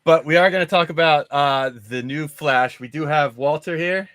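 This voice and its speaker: A man's voice: a little high, moderate pace, fairly bright tone, no echo. A man hosting a casual panel discussion about movies, steering the conversation. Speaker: host of a movie discussion show.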